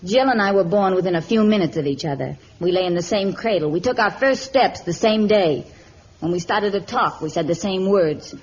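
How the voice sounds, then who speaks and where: A woman's voice: medium pitch, lively intonation, normal speed, darkish tone, close to an everyday voice. An actress playing a stubborn, resentful young showgirl in a vintage radio melodrama, speaking in curt, sardonic retorts. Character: bitter, stubborn young showgirl twin sister. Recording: dull, lightly noisy old radio recording.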